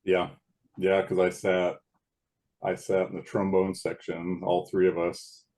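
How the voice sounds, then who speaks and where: A man with a very deep, big booming voice chats as a podcast guest. He is in his late thirties and talks in a loose, laid-back way, stopping and restarting as he remembers. He sounds relaxed and unhurried. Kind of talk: casual chat.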